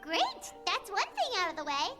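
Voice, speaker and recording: A woman's voice: very high voice, very animated, normal speed, loud music behind the voice. A woman voicing a small, perky, naive childlike fairy companion in a video game. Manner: speaks of herself in third person, exclamatory.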